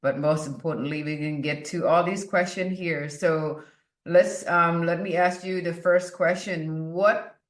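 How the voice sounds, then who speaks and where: A woman's voice: lowish voice, fairly even intonation, measured pace. A woman hosting a casual interview, chatty and often restarting her sentences. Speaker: podcast host. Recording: roomy echo.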